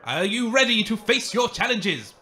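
A man's voice: high voice, sing-song, normal speed, bright, forceful tone, full character voice. A man playing a showy, grandiose wasteland game show host in a comedic radio play.

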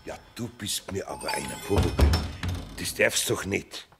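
A man playing a kindly, fussing older master carpenter with a Bavarian accent and a gravelly, husky voice, in a children's audio play. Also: low voice, animated, moderate pace, loud music behind the voice. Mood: scolding, relieved. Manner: homely, fussing, good-natured scolding.

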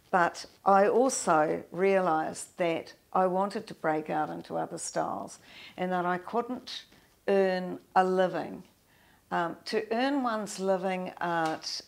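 Gravelly older New woman. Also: lowish voice. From New Zealand. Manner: reflective, with many restarts and fillers. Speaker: guest musician. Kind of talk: interview conversation. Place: indoor studio with framed awards.

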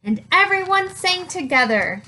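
A woman in her thirties reading a picture book aloud to children, with expressive, deliberate, emphatic phrasing. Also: very high-pitched, low-quality recording. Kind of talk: children's read-aloud storytelling.

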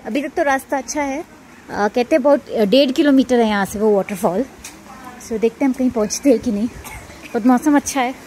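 A woman's voice: a little high, fairly quick, dark tone. Woman, young adult, from India, travel vlogger, casual chatty talk, phone at arm's length, noisy outdoor sound. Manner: chatty, mixing English words into Hindi. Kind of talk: casual travel vlog narration. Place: outdoors in the mountains and markets. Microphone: handheld phone camera at arm's length.

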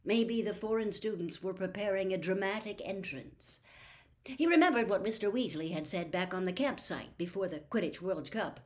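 An older woman in her sixties or beyond reading a storybook aloud with expressive, dramatic phrasing. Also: mid-range voice, normal speed. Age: 60s or older. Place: small book-lined room at home.

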